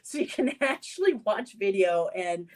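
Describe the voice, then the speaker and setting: A woman's voice: mid-range voice, big pitch swings, normal speed. A woman panelist in a casual football chat who talks candidly and laughs mid-sentence.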